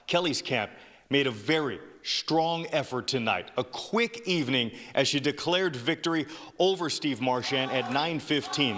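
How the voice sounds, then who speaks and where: A young man reporting the news on local television, with a crisp, measured newscast delivery. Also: on the high side, a bit bright.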